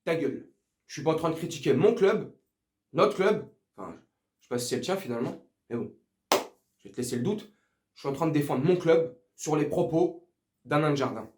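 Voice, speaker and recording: Male football vlogger, high voice, crude confrontational banter, roomy echo. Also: lively intonation, fairly quick, pauses often, darkish tone. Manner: crude, slangy, teasing, confrontational. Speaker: football fan video host. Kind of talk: casual ranting commentary to viewers. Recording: echoey room.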